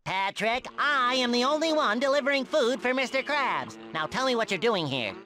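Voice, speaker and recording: An actor playing an eager, earnest, boyish sea sponge in a cartoon video game, with an excitable manner. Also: male voice, very high-pitched, normal speed, soft background music, heavily acted. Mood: indignant, suspicious.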